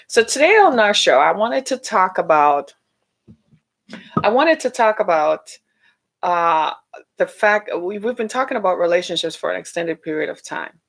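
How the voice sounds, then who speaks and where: A middle-aged woman talks on her own podcast in a loose, chatty way and often asks her listeners "right?" Her voice is a little hoarse because she is losing it. Her pitch climbs when she turns to mockery. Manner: animated, rising pitch when mocking. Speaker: podcast host. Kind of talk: solo podcast talk.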